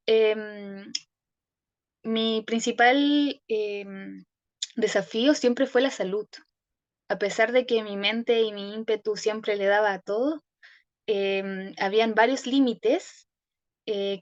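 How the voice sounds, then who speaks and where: A young Chilean woman speaks as a podcast guest, reflecting on her past with frequent hesitations and self-corrections. Her voice sits at a mid pitch. The call audio is dull and dry, with no room sound. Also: dry recording. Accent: Chilean Spanish. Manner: thoughtful, many hesitations and restarts. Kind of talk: reflective personal storytelling.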